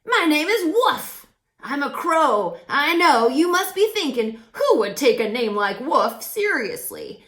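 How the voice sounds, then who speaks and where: Woman voicing a raspy, high-pitched, excitable storybook crow. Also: takes it slowly, roomy sound, heavily acted. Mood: chatty, proud. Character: quirky male crow, chatty, admiring, upbeat. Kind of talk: children's storybook character voice.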